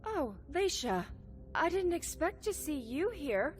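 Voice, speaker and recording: An actress playing a chatty, harried female salon manager with an informal, expressive delivery in a video game. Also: high-pitched, takes it slowly, full character voice. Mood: familiar, surprised. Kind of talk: video game drama acting. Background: game music.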